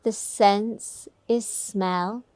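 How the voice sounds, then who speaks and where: A woman teaching a guided meditation, giving gentle, soothing, unhurried instructions. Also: medium pitch, fairly even intonation, dry recording.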